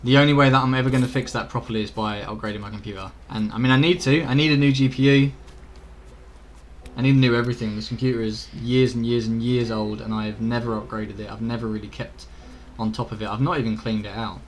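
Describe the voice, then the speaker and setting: A man's voice: mid-range voice, fairly even intonation, quick pace. Informal British male game streamer, faint background noise. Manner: informal, rambling, mild swearing. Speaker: video game live streamer. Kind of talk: casual chat to viewers during gameplay. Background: faint game ambience.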